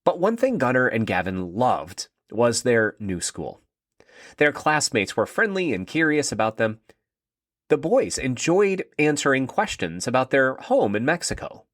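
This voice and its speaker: A man narrating a scripted story for children in an expressive read-aloud style. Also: medium pitch, a little slow, no echo, clean studio sound.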